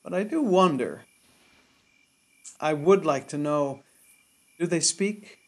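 Casting: gentle, devoted country husband in radio drama, very slow speech, slightly high voice. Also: male voice. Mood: tender, wondering. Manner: gentle, soothing. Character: tender, steadfast small-town husband, quietly curious. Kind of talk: radio play acting.

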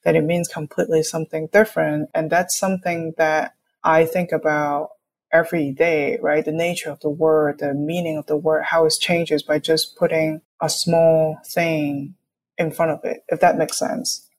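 Low-pitched young woman from Hong Kong. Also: level tone, normal speed. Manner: explanatory, often checks with "right?". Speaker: artist, interview guest. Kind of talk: conversational interview, reflective.

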